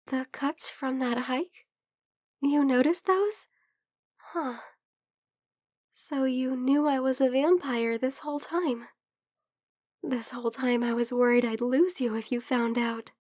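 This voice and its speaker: A tender young vampire girl played by a woman speaks in a high, gentle voice, very slowly and hesitantly. She sounds a bit under the weather, often dropping toward a whisper in a close, dry ASMR roleplay. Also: dry recording. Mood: surprised. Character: caring young female vampire best friend, sick, tender. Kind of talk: ASMR roleplay, intimate, soft-spoken.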